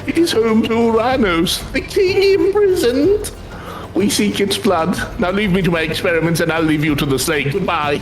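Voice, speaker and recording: A woman's voice: mid-range voice, animated, normal speed, soft background music, lightly acted, low-quality recording. A woman voicing a pompous, menacing male alchemist henchman in a fantasy game, with an abrupt, commanding, theatrical manner. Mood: gloating, obsessive. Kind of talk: acted reading of fantasy game dialogue.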